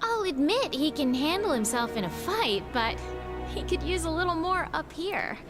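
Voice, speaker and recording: A woman's voice: high-pitched, very animated, normal speed. An actress voicing a snarky, teasing young woman mage companion in a game. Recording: game audio with music behind.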